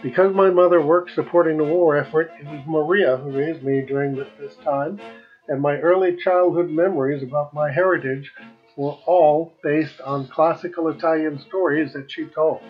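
A man's voice: fairly high pitch, fairly even intonation, normal speed, muffled recording, soft background music. A man in his seventies with an American accent, narrating his own personal travel video. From United States. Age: 70s.

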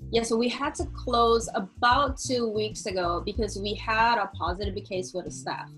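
A woman's voice: fairly high pitch, steady tone, moderate pace, a bit bright. A woman who owns a restaurant, answering an interview question in a plain, earnest way. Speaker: restaurant owner.

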